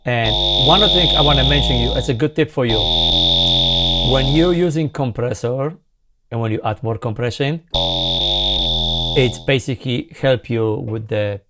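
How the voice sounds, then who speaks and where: A man in his forties, a non-native English speaker, hosting a casual synthesizer tutorial in an enthusiastic, halting way. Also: mid-range voice, very noisy. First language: not English. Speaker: host, sound designer demonstrating a synthesizer plugin. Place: small home music studio, indoors. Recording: synthesizer music behind the voice. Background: loud synthesizer sounds and music.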